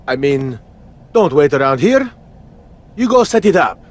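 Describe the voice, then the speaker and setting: A man's voice: a little high, very animated, slow, slightly rough, exaggerated voice. A man playing a boastful, cowardly comic wrestler in a video game cutscene, blustering theatrically. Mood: flustered bluster.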